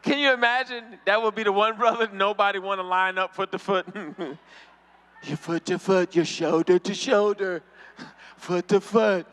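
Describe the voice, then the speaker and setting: High-voiced teasing comedian man. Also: steady tone, normal speed, fairly bright tone, clear, resonant tone. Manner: teasing, laughs often, crowd work. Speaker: stand-up comedian. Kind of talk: stand-up comedy. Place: convention hall stage.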